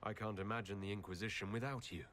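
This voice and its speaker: A man's voice: on the low side, steady tone, fast talker, a bit bright, slight background noise, close to an everyday voice. A man playing a kindly, dryly humorous hero leader in a game cutscene, speaking politely. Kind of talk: video game cutscene acting.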